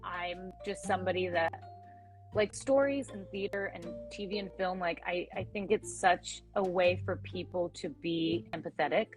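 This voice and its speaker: A young woman with a raspy voice, chatting casually as an actress guest in an interview and filling her talk with "like". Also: on the low side, normal speed, soft background music, low-quality recording. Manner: chatty, many "like" fillers.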